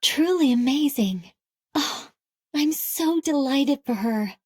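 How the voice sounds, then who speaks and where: A woman voicing an earnest, scholarly young lady in a game cutscene, speaking in a formal, measured, articulate way. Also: high voice, lively intonation, a little mellow, no room sound, faint music behind. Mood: delighted. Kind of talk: animated game cutscene voice acting.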